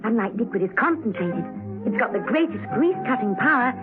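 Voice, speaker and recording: A woman delivering a scripted sales pitch in a vintage radio commercial. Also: expressive, brisk. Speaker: advertisement announcer. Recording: tinny vintage radio recording with music.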